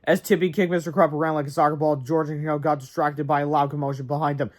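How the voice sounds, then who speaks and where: A man's voice: a little high, level tone, quick pace. A man narrating a storybook aloud in a dramatic way, with casual asides.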